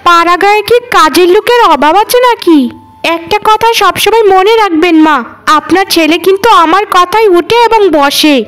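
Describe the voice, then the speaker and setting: A woman's voice: very high-pitched, moderate pace, fairly bright tone. A woman voicing a spoiled, scheming young cartoon daughter-in-law with a whiny, haughty, defiant manner. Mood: insolent, defiant. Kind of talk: Bengali cartoon voice acting.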